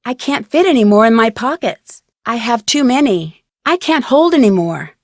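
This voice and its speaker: A woman's voice: fairly high pitch, expressive, moderate pace, dry recording, clean studio sound. A woman voicing a plucky, curious young female detective in a video game, speaking earnestly and conversationally as if thinking aloud. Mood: matter-of-fact. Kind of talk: scripted video game voice acting.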